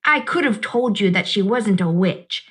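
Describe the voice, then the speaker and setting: A woman's voice: mid-range voice, animated, normal speed, a bit bright, close to an everyday voice. Prim know-it-all girl, matter-of-fact, storybook reading, voiced by a woman. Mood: superior.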